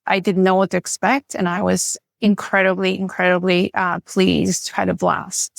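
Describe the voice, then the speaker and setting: A woman's voice: medium pitch, creaky, no room sound, clean studio sound. A woman, speaking as a podcast guest in a frank, matter-of-fact way. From Bulgaria. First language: Bulgarian. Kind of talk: casual interview chat.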